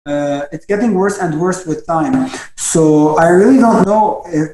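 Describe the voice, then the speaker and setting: A young doctor from Lebanon talks over a video call, his voice sitting high. He stops and restarts his sentences often. The sound comes from an echoey room. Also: dark tone, a little hiss or hum. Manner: halting, frequent restarts and stammers. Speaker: guest doctor. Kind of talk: webinar interview answers.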